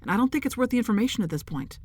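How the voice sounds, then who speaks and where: An actress playing a dutiful, determined officer in an audio drama, clipped, formal and fiery. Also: female voice, on the high side, lively intonation, very fast, no echo. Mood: tense concern.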